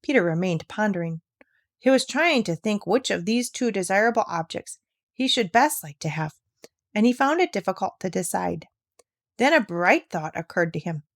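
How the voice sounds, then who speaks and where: A woman's voice: medium pitch, expressive, moderate pace, no room sound. Woman narrating a storybook, dry sound. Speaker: audiobook reader.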